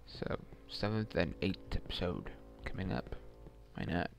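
Slow-talking young man gaming. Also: sits low, big pitch swings, vocal fry, music in the background. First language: Swedish. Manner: muttering, reacting to a horror game. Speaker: gamer. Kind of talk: let's play commentary. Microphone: headset mic.

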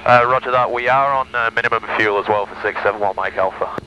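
A man's voice: medium pitch, fast talker, lo-fi sound. A man in his twenties, a pilot making radio calls and talking tersely through a headset in a small plane's cockpit. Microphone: aviation headset boom mic. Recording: aircraft headset intercom with engine noise.

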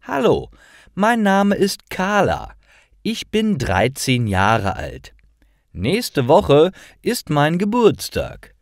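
A man's voice: on the high side, huge pitch swings, drawn-out words, dry recording, studio quality, pitched above the performer's own voice, exaggerated voice. A man voicing a cheerful thirteen-year-old girl in a storybook reading. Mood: friendly, chatty.

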